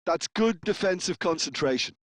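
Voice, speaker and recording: A man's voice: sits high, expressive, moderate pace, fairly bright tone, no echo, clean studio sound. A man voicing an analytical football co-commentator in a video game, explaining play in a conversational way.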